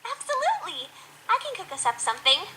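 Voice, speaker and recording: A woman's voice: very high voice, huge pitch swings, fast, a crowd in the background, exaggerated voice, poor audio. A woman voicing a sweet, eager, gushing young female pony in a cartoon audio drama. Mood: cheerful. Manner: gushing, earnest, eager to please. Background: music.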